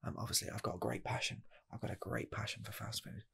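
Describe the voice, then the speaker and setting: A man playing an earnest rocket scientist applying for a fast food job, in a soft, half-whispered ASMR roleplay voice that rambles and restarts. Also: on the low side, fairly even intonation, creaky, breathy edge, full character voice. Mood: torn. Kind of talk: ASMR personal attention roleplay.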